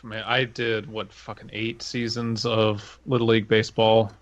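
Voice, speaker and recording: A podcast host, a man with a fairly low voice, chats in a casual comedic way. He grumbles and curses freely. The sound is a bit dull and lo-fi. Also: flat delivery, normal speed. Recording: slightly dull, lo-fi microphone sound.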